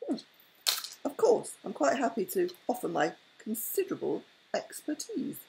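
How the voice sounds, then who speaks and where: A woman's voice: mid-range voice, huge pitch swings, moderate pace, creaky, noisy background, exaggerated voice. A grandmother voicing a pompous, scornful male owl in a bedtime storybook reading. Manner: scornful, lofty.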